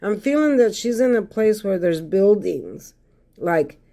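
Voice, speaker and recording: A hoarse man giving a casual tarot reading to his viewers, repeating phrases and often checking in with "okay?". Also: sits high, very animated, measured pace, warm tone. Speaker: tarot card reader.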